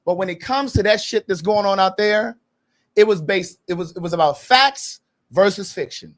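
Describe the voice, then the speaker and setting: Podcast host, man in his late forties, slightly high voice, insistent and repetitive, laughs big. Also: normal speed. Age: 40s. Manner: emphatic, repeats points, laughs heartily. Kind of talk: casual opinionated chat.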